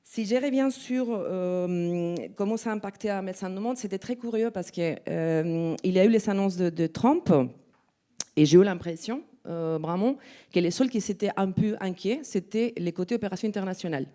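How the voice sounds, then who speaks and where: A woman with a non-native French accent speaking on a conference panel, halting and searching for words with frequent restarts. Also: medium pitch, mellow. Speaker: NGO representative on a panel. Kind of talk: spontaneous panel talk. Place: conference room.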